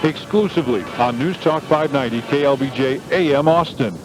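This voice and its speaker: A man's voice: medium pitch, fairly quick, poor audio. A man with an American accent reading a radio station promo as its announcer. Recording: vintage AM radio with music bed.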